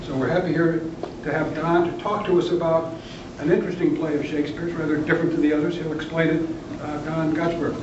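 An older man introduces a lecturer with light, joking asides, his voice fairly high for a man. The recording is far from him and roomy. It is muffled, with steady background noise. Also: fast. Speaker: introducer, professor emeritus. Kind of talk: introducing a speaker. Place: large lecture room. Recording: roomy, distant, dull, noisy camera audio.

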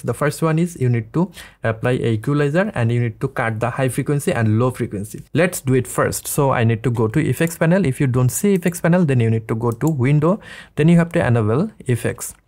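Man presenting a step-by-step software tutorial. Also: medium pitch, fairly quick. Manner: step-by-step instructing. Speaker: tutorial host. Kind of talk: casual scripted software tutorial. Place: small indoor studio room.

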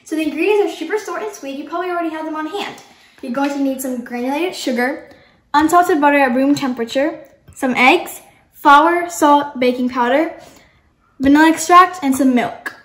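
A woman's voice: high-pitched, normal speed, a bit bright. A teenage girl hosting a home cooking video, bubbly and chatty as she walks through a recipe in her kitchen. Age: teens. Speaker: cooking show host. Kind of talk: casual cooking demo. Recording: echoey kitchen room.

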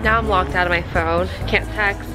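A woman's voice: lowish voice, fairly even intonation, moderate pace, over music, rough recording. A young woman, congested and tired from a cold, chatting casually to a handheld camera as she walks around a city. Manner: chatty, self-deprecating. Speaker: vlogger. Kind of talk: casual travel vlog. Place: outdoors in city streets and gardens. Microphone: handheld camera at arm's length.

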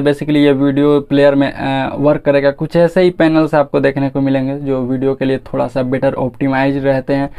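Young man, Indian accent, mellow tone, flat intonation, casual tech walkthrough, informal, English words mixed in. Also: a little high, clean tone. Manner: informal, addresses viewers directly, mixes in English words. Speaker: tech reviewer and channel host. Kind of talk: casual phone feature walkthrough.

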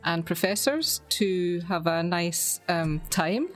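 A woman's voice: on the low side, expressive, slow pace, faint music behind. A woman chatting casually as an interview guest, playful and talkative. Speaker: guest, academic.

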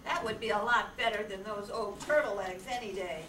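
A woman's voice: mid-range voice, moderate pace, bright, forceful tone, natural voice. A woman playing a plain-spoken castaway in a children's radio adventure. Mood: upbeat. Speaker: amateur performer in a radio play reenactment. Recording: echoey, noisy room from far off.

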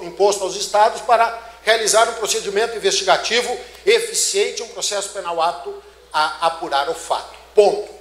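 A middle-aged man with a Brazilian Portuguese accent lectures from a conference stage into a handheld mic. He talks emphatically, tossing rhetorical questions at the audience in a fairly high voice. The large auditorium gives his voice a roomy echo. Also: a bit bright. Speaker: law lecturer. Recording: echoey auditorium.

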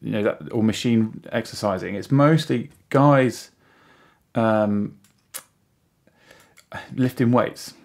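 A man's voice: on the low side, takes it slowly, creaky, pauses often. A British man of about forty, a podcast guest chatting casually in a rambling way. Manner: rambling, frequent "you know". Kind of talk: casual chat.

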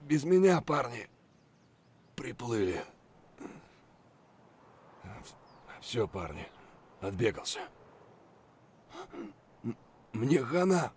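Gruff shooter-game soldier, husky, terse phrases, unhurried pace, expressive pitch swings. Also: male voice. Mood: resigned. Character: gruff, weary, foul-mouthed Russian soldier. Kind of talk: military shooter game voice lines.

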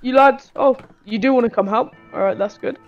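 A man playing a polite, folksy Wild West sheriff in an improvised game roleplay, speaking haltingly with many pauses. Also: very high voice. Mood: friendly, casual. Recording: dull muffled audio with guitar music behind.

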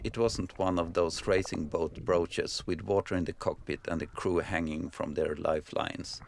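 A Swedish man reading a calm scripted voice-over in English with a Swedish accent, explaining things evenly. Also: low voice, gravelly, slight background noise. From Sweden. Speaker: sailing vlogger.

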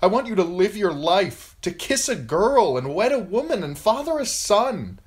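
A man's voice: high-pitched, very animated, moderate pace, voice pushed up. A man voicing a grieving, resolute noblewoman, a widowed mother pleading earnestly in a fantasy audiobook. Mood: impassioned longing. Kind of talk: audiobook fantasy character acting.

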